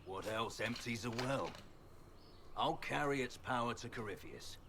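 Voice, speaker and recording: An actor playing a bitter, ruthless, taunting general, a zealous armoured villain in a video game. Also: male voice, medium pitch, lively intonation, unhurried, vocal fry. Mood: boastful menace. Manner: taunting, menacing. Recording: noisy game audio with ambience.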